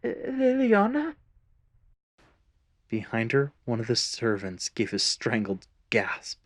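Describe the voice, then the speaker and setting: Deep-voiced man narrating a fantasy story. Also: steady tone, measured pace, a bit bright, dry recording.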